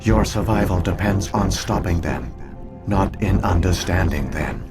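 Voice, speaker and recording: A man voicing an ancient alien artificial intelligence in a video game, solemn and weary, telling its history in grave, measured, deliberate phrases. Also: sits low. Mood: solemn, resigned. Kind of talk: scripted video game exposition. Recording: loud music behind the voice. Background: game music.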